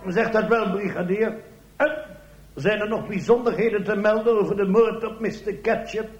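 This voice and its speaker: A bumbling, whiny police inspector acted out by a man exclaims and questions in a radio parody. The voice is high, in an echoey room with slight noise. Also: normal speed, dull audio, a faint crowd, lightly acted. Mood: baffled. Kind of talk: comic radio play acting.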